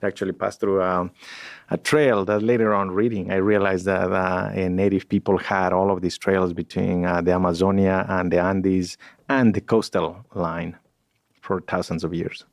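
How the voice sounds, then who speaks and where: A man's voice: sits low, little pitch movement, normal speed, no echo, studio quality. A man, a guest in a podcast interview, talking in a reflective way and searching for words. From Ecuador. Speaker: guest scientist.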